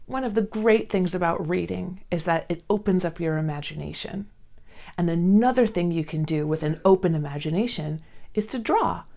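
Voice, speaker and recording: A woman hosting a read-along, speaking to young children in simple, clearly phrased storytime style. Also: fairly low pitch, moderate pace, warm tone.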